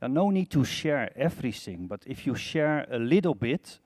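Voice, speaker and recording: Male panelist, mid-pitched, plain-spoken with hesitant phrasing. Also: sing-song, moderate pace, a little mellow. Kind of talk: live panel discussion.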